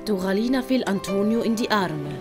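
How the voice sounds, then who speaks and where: A woman's voice: mid-range voice, expressive, moderate pace. A woman narrating a scripted audio story. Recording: loud music and storm effects behind.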